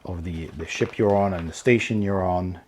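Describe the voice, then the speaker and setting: A man's voice: low-pitched, normal speed, a little mellow. A man hosting a casual unboxing video, thinking aloud and hesitating as he describes each item. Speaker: host of a board game unboxing channel.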